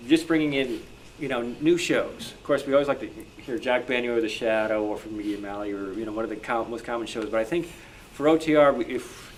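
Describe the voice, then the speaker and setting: A man answering as a panelist at a club meeting, unscripted, halting and doubling back as he talks. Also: medium pitch. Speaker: panelist, old-time radio researcher. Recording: noisy live meeting room recording.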